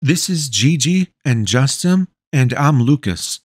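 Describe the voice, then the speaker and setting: A man's voice: medium pitch, expressive, takes it slowly, no room sound, studio quality, voice pushed up. A man voicing a polite, earnest teenage boy.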